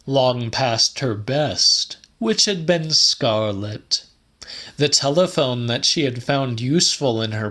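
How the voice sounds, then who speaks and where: A man reads literary fiction as an audiobook narrator. His pace is slow and deliberate, and his pitch sits in the middle. The delivery is measured, with a slightly dramatic edge. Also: fairly bright tone.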